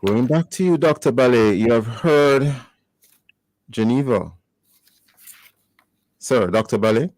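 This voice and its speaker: A man hosting a radio interview, speaking in a measured, formal and wry way. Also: medium pitch, expressive, darkish tone, dry recording. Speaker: radio host.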